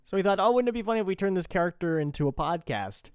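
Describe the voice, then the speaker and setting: A man's voice: high-pitched, animated, fast talker, clear, resonant tone, no echo. American man chatting, dry close sound. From California, United States. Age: 20s. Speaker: podcast creator and host. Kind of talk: casual chat to listeners.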